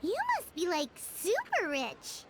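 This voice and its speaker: A woman voicing a tiny, excitable female sprite companion in a video game who talks in chirpy, nosy exclamations. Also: very high-pitched, takes it slowly, heavy background noise, heavily acted. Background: game music.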